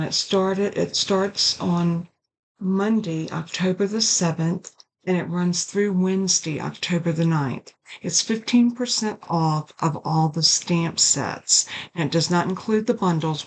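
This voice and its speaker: An older woman, raspy and hoarse from a sinus infection, hosting a casual card-making tutorial at her craft desk. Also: lowish voice, poor audio. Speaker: card-making channel host.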